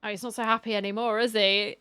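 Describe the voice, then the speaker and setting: A woman's voice: mid-range voice, level tone, quick pace, bright, forceful tone, clean tone, no room sound, clean studio sound. A woman VTuber giving casual reaction commentary on a game in short, offhand interjections.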